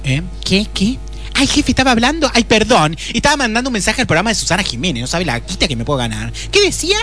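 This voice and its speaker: Flighty, sassy office secretary, played by a woman, Argentine, highly animated delivery with grumbling asides, for radio comedy. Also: fast, soft background music. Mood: distracted, flighty. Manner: exclamatory, sassy, muttering complaints. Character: scatterbrained, cheeky, gossipy female office secretary. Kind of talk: comic radio play acting.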